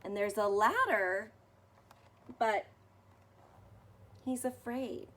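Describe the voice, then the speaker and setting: Slow-paced woman reading a picture book. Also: medium pitch. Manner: expressive, explanatory, as if to young children. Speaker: storybook reader. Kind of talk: read-aloud of a children's picture book with explanatory asides.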